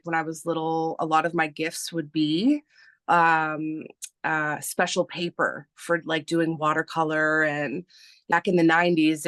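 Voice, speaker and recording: Slow, soft-spoken woman in her thirties. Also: low-pitched, no echo, clean studio sound. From Canada. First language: Spanish. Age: 30s. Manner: slow, hesitant, many fillers. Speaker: guest, artist and publisher. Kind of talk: casual interview talk.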